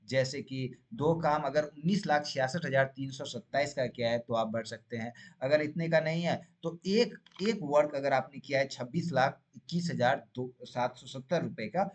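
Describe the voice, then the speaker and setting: An Indian man speaks in a medium-pitched voice as a channel host explaining things step by step. His delivery is informal and instructional. He blends Hindi with English terms and often adds short questioning tags to check the listener follows. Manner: frequent check-in tags like "right?" and "okay?".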